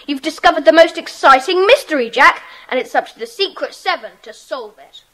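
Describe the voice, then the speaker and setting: A woman playing a bossy, eager British schoolboy club leader in a children's audio drama, brisk and commanding. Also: high-pitched, lively intonation, fairly bright tone, low-quality recording.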